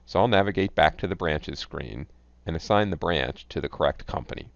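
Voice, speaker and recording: A man giving scripted, instructional narration as a software tutorial presenter, reading in a measured, enunciated way. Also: extremely low pitch, expressive, vocal fry, no room sound. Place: close, dry voice-over recording. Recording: dull, lo-fi close voice-over.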